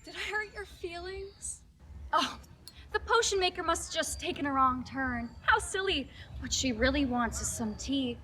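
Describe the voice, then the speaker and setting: Woman acting a very high, bright, defiant potion maker. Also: expressive, normal speed, slight background noise. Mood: mocking.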